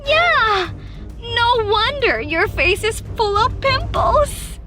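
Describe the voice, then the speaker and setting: Woman putting on a very high, bratty teenage girl. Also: very animated, slow, bright, forceful tone, faint music behind, heavily acted. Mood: smug, boastful. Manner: boastful, dismissive, whiny when playing helpless. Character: bratty, self-absorbed teenage pick-me girl, feigning sweetness. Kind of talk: animated story dialogue.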